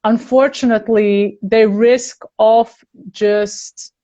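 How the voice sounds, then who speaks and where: A woman's voice: fairly high pitch, steady tone, slow pace, mellow, dull audio. A woman vocal coach hosting a livestream, chatty and encouraging, talking straight to her viewers. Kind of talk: casual livestream chat.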